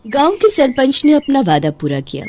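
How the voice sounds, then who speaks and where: A woman's voice: high voice, huge pitch swings, moderate pace, a little mellow, a little hiss or hum. A woman storyteller giving scripted narration of a children's bedtime story. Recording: music behind the voice.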